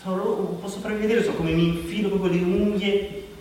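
An actor as a desperate, self-conscious man speaks in a fairly high voice, pleading and hesitating as he repeats himself. It is a confessional stage monologue, picked up from a distance in a very echoey hall. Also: fairly even intonation, brisk, darkish tone, a little hiss or hum, natural voice. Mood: desperate, hesitant.

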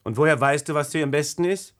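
A man's voice: a little high, fast talker, fairly bright tone, close to an everyday voice. An actor playing a troubled, doubting expedition man, hesitant and questioning, in a science-fiction radio play. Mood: skeptical.

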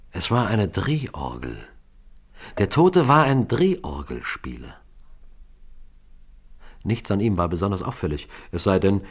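Low-voiced police sergeant narrator. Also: male voice. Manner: measured, precise, formal. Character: composed police sergeant recounting an old case. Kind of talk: dramatic scripted narration, German radio crime play.

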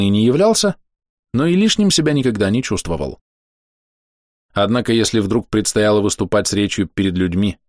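A man narrating a novel in the first person, telling the story in a measured, conversational way. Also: low voice, no echo, clean studio sound. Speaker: audiobook narrator.

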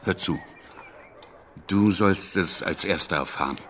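Selbstsicherer, derber Revolutionsführer. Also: male voice, sits low, a little slow, bright, forceful tone, a little hiss or hum. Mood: casual, confiding. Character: bluff, self-assured male revolutionary leader.

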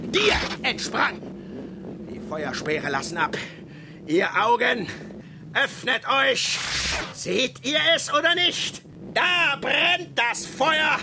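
A fierce old sea captain, gravelly and grand as he rails at the storm. The voice is worn with fry and sits in a moderately high register. The radio play recording carries a clear layer of noise underneath. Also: heavily acted. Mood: ecstatic defiance. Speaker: ship captain.

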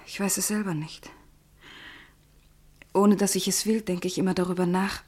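A woman plays an anxious figure in a radio play, a troubled woman with a lowish, breathy voice. She confides hesitantly and in a subdued way. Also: flat delivery, normal speed, darkish tone, lightly acted. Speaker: actor in a radio crime drama. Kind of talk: German radio play acting.

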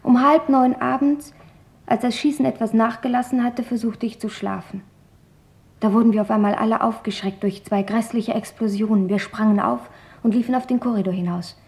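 A high-voiced, earnest young girl played by a woman in a radio drama. She tells her story in deliberate literary phrases, thoughtful and serious throughout. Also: darkish tone, lightly acted. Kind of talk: dramatic literary reading in German radio play.

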